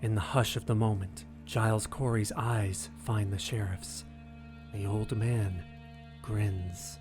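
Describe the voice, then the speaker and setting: A man's voice: fairly low pitch, fairly even intonation. Grave male narrator over dramatic music. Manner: grave, deliberate, suspenseful phrasing.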